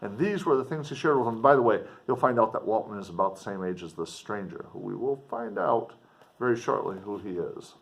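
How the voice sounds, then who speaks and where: A man reading literature aloud like a teacher, expressively and emphatically, with dramatic pauses and explanatory asides. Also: on the low side, animated, gravelly.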